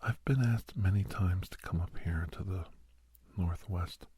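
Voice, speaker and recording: A man with an American accent playing a kindly, gentle doctor who counsels a young werewolf in a breathy, whispered ASMR roleplay. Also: low-pitched, a little hiss or hum. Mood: reflective, confiding. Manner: gentle, reflective, slow and halting.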